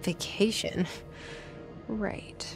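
A terse young director. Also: female voice, extremely slow, music in the background. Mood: dry. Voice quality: dry, terse; whispered inner thoughts. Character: young woman, new director, guarded. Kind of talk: video game cutscene.

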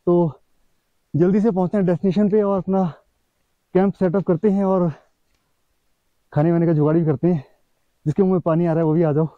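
A joking, chatty man talks to his camera on a forested hillside, his voice sitting fairly high for a man. He addresses viewers as friends in a relaxed, casual vlog. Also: a little fast, pauses often, no echo, phone-quality sound. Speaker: travel vlogger. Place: outdoors on a forested mountainside.

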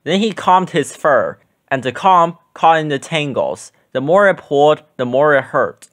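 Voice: medium pitch, animated, unhurried. A storyteller reading a children's picture book aloud as scripted narration.

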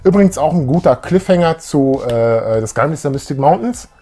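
A man's voice: a little high, animated, fairly quick, mellow, faint music behind. A middle-aged man chatting casually about favourite things as co-host of a fan show. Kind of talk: casual chat, recounting favourite audio dramas. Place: small room full of posters and collectibles.

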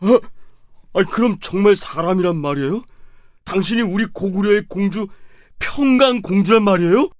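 A man playing a poor, simple young woodcutter in a children's folktale, earnest and excitable. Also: high voice, moderate pace, dry recording, close to an everyday voice. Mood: astonished, humble. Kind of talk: children's animated folktale acting.

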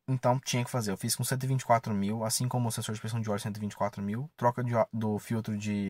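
A man's voice: mid-range voice, dry recording. A man, chatting casually and slangily as a car vlogger. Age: 20s. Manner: informal, slangy, mildly profane. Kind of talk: casual vlog chat. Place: inside a parked car. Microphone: camera or phone at arm's length.

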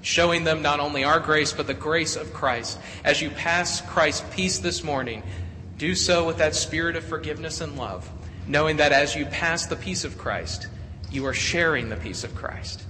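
A man with a bright, fairly high voice leads a church service as pastor. He turns upbeat and playful when talking with children. The sound carries a long hall reverb from the sanctuary. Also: moderate pace, slight background noise. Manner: upbeat and playful with children, often restarting phrases.

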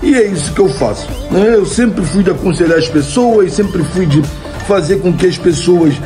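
Chatty Brazilian man with a high voice. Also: moderate pace, music in the background. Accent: Brazilian Portuguese. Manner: chatty, laughs at his own jokes, keeps adding "né?". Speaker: comedy content creator answering viewer questions. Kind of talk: casual Q&A monologue. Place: home room, indoors. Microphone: old phone camera, close framing.

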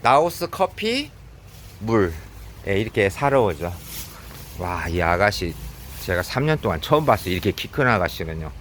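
A man with a cold, chatting casually as he films himself shopping in a convenience store, filling pauses with "yes". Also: on the low side, very animated, a bit bright, slight background noise. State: has a cold. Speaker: vlogger. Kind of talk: casual running commentary while shopping.

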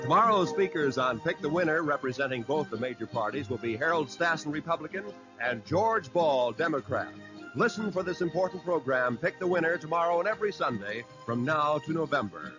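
A man's voice: a little high, animated, fairly quick. An American man announcing in formal, declamatory 1950s radio style, reading scripted narration. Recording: dull old radio broadcast recording. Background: music.

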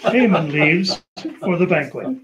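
A man's voice: fairly high pitch, expressive, a little slow, noisy background. A man narrating an old-time radio show from a script, as its announcer.

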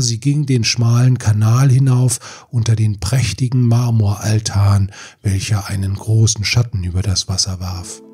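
A man's voice: lowish voice, darkish tone, slightly rough. A man reading a scripted fairy tale as an audiobook narrator. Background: music.

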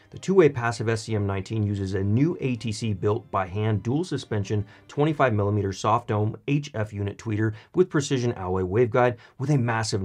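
A man's voice: lowish voice, a little mellow. A man, reading a scripted product review as the host of a video channel.

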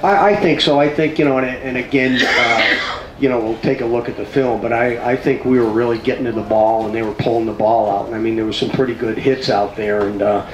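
A man's voice: mid-range voice, steady tone, a little fast, highs cut off. A middle-aged man, a plain-spoken, emphatic football coach answering at a press conference into a handheld mic. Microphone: handheld microphone. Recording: roomy tent sound with clear noise.

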